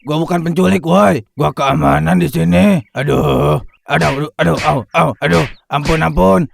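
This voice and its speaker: A man's voice: fairly high pitch, moderate pace, vocal fry. A man voicing a hapless, grumbling security guard with a Jakarta Betawi accent in a comic cartoon. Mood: panicked pleading.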